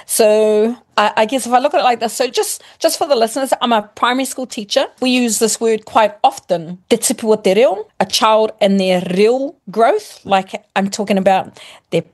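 A woman's voice: fairly high pitch, normal speed, a little mellow. Woman, new zealand accent, middle-aged, teacherly, patient explaining, casual chat. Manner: thoughtful, teacherly, explains with examples and restarts. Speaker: guest, primary school teacher. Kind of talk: casual explanatory chat, mixing Māori and English.

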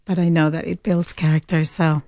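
A woman's voice: low-pitched, flat delivery, fairly quick, mellow. A woman in her fifties from Argentina, a friendly, eager guest on a radio talk show. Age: 50s. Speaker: studio guest. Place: radio studio.

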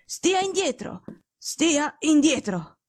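A woman reading an audiobook voices a gravely ill man who gives weak, rasping, slow but commanding orders. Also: on the high side, lively intonation, no room sound, clean studio sound. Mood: weak imperious. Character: gravely ill, imperious man detective, feverish.

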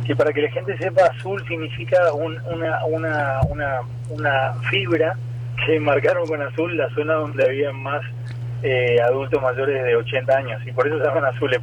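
An Argentine man, a doctor, explaining things point by point in a didactic way as an interview guest. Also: a little high. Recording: dull lo-fi remote link, faint noise.

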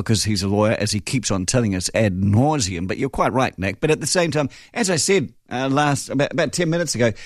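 A man's voice: mid-range voice, no echo, clean studio sound. A man in his sixties with a New Zealand accent, hosting a talkback radio show and reacting with strong opinions to listener texts. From born in the UK, raised in New Zealand. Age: 60s. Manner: opinionated, reads texts aloud and responds. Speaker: radio talk show host.

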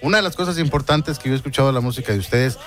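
A man hosts a morning radio show, talking loosely and laughing easily. His voice sits at an ordinary middle pitch. Faint music plays under the studio talk. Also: very fast. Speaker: radio host. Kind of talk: casual radio chat.

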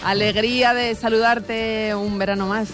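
Female radio presenter, talk show hosting, even intonation, music underneath. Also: a little high, measured pace. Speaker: radio host.

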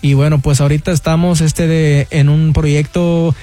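Young male singer from Houston, high voice, hesitant speech full of fillers, faint music behind. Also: little pitch movement, normal speed, dark tone. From Houston, Texas, with Mexican roots. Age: young adult. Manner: hesitant, many fillers like "este, de" and "¿cómo se dice?". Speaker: singer guest. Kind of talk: casual interview.

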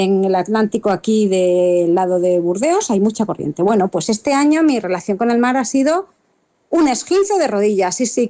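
A woman's voice: on the high side, warm tone. A woman telling an anecdote in a chatty voice message to a radio show. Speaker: listener sending a voice message.

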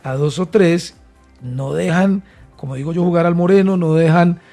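A man's voice: a little high, fairly even intonation, moderate pace, mellow, faint music behind. A man from Colombia with a Colombian accent, a former minister arguing as a candidate in a debate, emphatic and repetitive. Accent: Colombian Spanish. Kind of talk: political debate.

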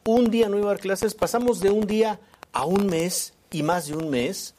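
A man's voice: sits high, normal speed, darkish tone, slight background noise. A man with a Mexican accent giving conversational news opinion, emphatic and repetitive, often seeking agreement with short tag questions. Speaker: news commentator.

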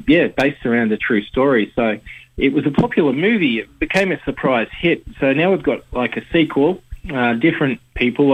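A man's voice: medium pitch, phone-quality sound. A man with an Australian accent and a sore throat, chatting casually as a radio film reviewer, rambling with fillers. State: sore throat, slightly hoarse.

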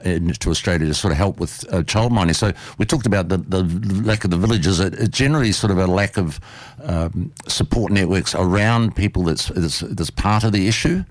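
NZ-accented male host, 60s, very low pitch, rambling hesitant delivery. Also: a little fast, no room sound. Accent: New Zealand. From New Zealand. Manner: rambling, hesitant questions full of fillers. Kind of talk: panel discussion.

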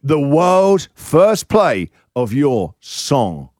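A man hosting a breakfast radio show, talking in an excitable, emphatic, rambling way with lively banter. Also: a little high, extremely slow, no room sound, clean studio sound. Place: radio studio.

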